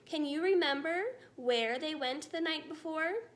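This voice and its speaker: A woman's voice: high-pitched, measured pace. A woman voicing an unflappable, commanding queen in a storybook, matter-of-fact and regal. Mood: composed, brisk.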